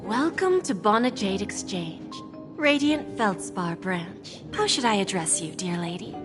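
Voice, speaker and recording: A woman's voice: medium pitch, expressive, a little slow, lightly acted. An actress voicing an enigmatic female pawnbroker in a game, speaking with cool, knowing condescension. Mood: teasing. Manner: silky, coolly condescending. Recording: game audio with music behind.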